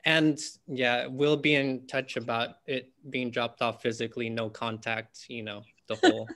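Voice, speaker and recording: Young male host, casual and warm-hearted, filler-heavy, on a video call. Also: medium pitch, level tone, moderate pace. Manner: friendly, chatty, with fillers and laughs. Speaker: event host.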